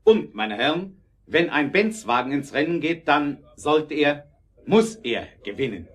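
A man's voice: fairly high pitch, sing-song, a little slow, husky, exaggerated voice. A man playing an earnest, determined car inventor in an audio drama, speaking formally and emphatically. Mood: earnest, resolute. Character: earnest, determined male automobile inventor and businessman.

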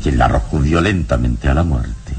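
An actor playing a calm, wise heroic adventurer in a radio drama, speaking in a measured, philosophical way. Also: male voice, a bass voice, soft background music. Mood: pensive. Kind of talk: classic radio drama acting.

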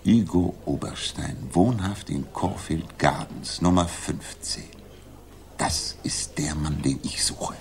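An actor playing a confident, methodical Victorian detective in a 1960s German radio play, reasoning in a deliberate, commanding way. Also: male voice, rumbling low, gravelly, exaggerated voice. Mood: pleased, revealing. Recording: noisy 1960s radio drama recording.

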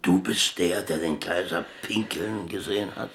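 An actor playing a stubborn, wry working-class ex-boxer in a German radio drama, speaking in a dry, laconic, colloquial way. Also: male voice, low-pitched, moderate pace, a bit bright. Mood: teasing, sceptical.

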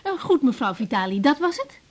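A woman's voice: high-pitched, sing-song, brisk. An actress playing a young female doctor on her first day, polite, patient and a little unsure. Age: young adult. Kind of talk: 1980s radio drama acting.

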